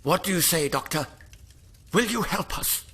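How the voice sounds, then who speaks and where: An actor playing an earnest rebel leader in an audio drama, with a declamatory manner. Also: male voice, high-pitched, animated, moderate pace, sharp tone, husky, heavily acted. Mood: grave, hopeful. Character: earnest male rebel leader rallying oppressed workers.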